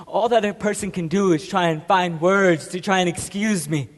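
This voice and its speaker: In a long hall reverb, a man acts a guilt-ridden, haunted marine veteran confessing on stage. His delivery is halting and intense, moving between hushed and explosive, in a high-set male voice. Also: lightly acted. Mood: haunted, subdued. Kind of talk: theatrical stage monologue. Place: large echoing room, performing to an audience.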